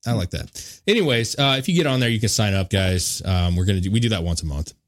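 Dude hosting a podcast, lowish voice that bounces all over, laid-back and sweary. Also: male voice, lively intonation, fast talker. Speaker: podcast host. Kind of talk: casual podcast banter.

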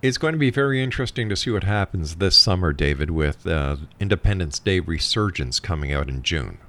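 A Canadian man hosts a radio talk show in a low-pitched voice. He speaks conversationally as an interviewer with his guest. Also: lively intonation, a little fast, fairly bright tone.

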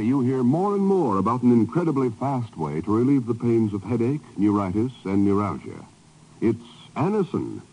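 Vintage male radio announcer, husky and mellow, animated scripted delivery in 1950s broadcast style. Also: mid-range voice, rough recording.

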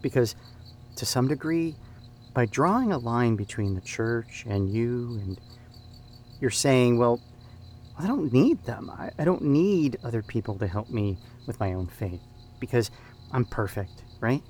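An actor playing an earnest church group leader, conversational and prompting with probing questions. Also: male voice, medium pitch, lively intonation, measured pace, a little mellow, slight background noise, close to an everyday voice.

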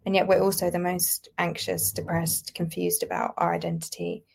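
A woman's voice: lowish voice, little pitch movement, moderate pace, gravelly. A woman in her twenties with a British accent, speaking as a podcast guest in a reflective, casually phrased conversational way. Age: 20s. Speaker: guest writer. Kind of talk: conversational interview.

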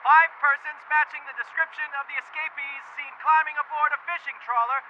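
A very high, urgent navy sailor barks clipped military calls. The radio drama voice comes through a band-limited, slightly noisy two-way radio. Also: male voice, fairly even intonation, fairly quick, lo-fi sound. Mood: brisk. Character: urgent young navy petty officer on patrol boat. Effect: two-way radio filter.

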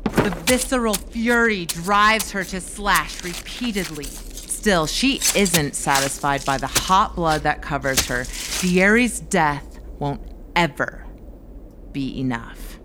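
A woman's voice: on the low side, slow pace, noisy background. A woman narrating a scripted science-fiction audio drama in a dramatic storytelling style. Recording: music under the narration. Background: dramatic music.

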